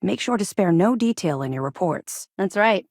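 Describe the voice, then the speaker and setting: A woman's voice: medium pitch, expressive, moderate pace, darkish tone, dry recording, clean studio sound. A woman playing a tough, driven female military officer in a space game, speaking in a firm, businesslike manner. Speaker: first mate and mission handler. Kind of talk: video game dialogue acting.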